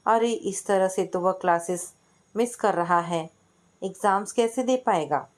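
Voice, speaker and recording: A woman's voice: medium pitch, measured pace, close to an everyday voice. Young female student, played by a woman narrator: playful, curious, caring, insistent, questioning delivery for a family story. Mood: concerned, insistent.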